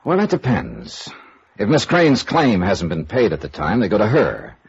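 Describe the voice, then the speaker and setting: A man's voice: fairly low pitch, huge pitch swings, normal speed, vocal fry, husky, full character voice. An American man playing a sardonic, unflappable insurance investigator in old-time radio noir, with wry, clipped delivery. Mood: dry, guarded. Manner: wry, clipped, dry repartee. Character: sardonic, sharp-witted male insurance investigator, hard-boiled and unflappable. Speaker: radio actor, narrator and lead. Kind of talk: old-time radio noir acting with first-person narration. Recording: muffled vintage radio drama recording.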